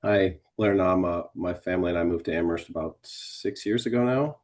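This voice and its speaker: A man's voice: deep voice, normal speed. A man giving a plain, matter-of-fact self-introduction to a committee on a video call. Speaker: board applicant.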